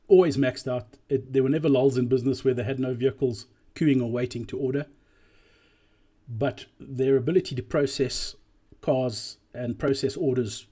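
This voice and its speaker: Mellow, slightly husky man presenting. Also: mid-range voice. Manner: deliberate and emphatic, repeating key phrases. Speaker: presenter analysing drive-through performance. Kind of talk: explanatory business presentation voice-over.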